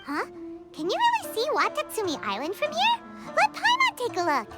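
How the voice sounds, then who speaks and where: A woman's voice: extremely high pitch, wildly swooping pitch, normal speed, exaggerated voice. A woman voicing a small, perky, childlike fairy companion in a video game, exclaiming and talking about herself in the third person, with music behind. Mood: cheerful, curious. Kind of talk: animated video game acting.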